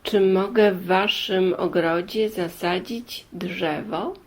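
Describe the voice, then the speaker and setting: A man's voice: sits high, steady tone, slow, full character voice. A woman voicing a polite, grateful male pilgrim in a folk tale, making brief, courteous requests.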